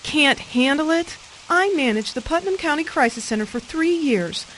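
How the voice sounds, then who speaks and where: Casting: actress as self-important, eager female guest, high voice, radio mystery, faint hissy noise. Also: animated, moderate pace, fairly bright tone. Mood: indignant, earnest. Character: earnest, self-important, easily flustered woman at a party. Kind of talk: audio mystery drama acting.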